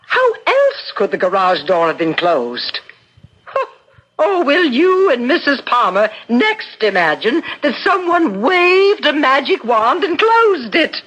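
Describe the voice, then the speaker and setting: A woman's voice: high-pitched, sing-song, slow pace, a bit bright, exaggerated voice, poor audio. An actress in a 1970s radio drama playing an insistent, suspicious woman. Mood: dismissive, scoffing. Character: insistent, suspicious grieving woman, best friend of the deceased.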